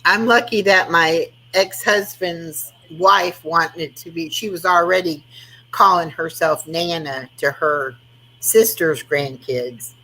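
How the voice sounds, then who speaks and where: Chatty, husky woman in her sixties. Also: lowish voice, slow, bright, forceful tone, low-quality recording. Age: 60s. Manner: chatty, laughs often, trails off mid-sentence. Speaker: host of an online chat room. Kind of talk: casual chat.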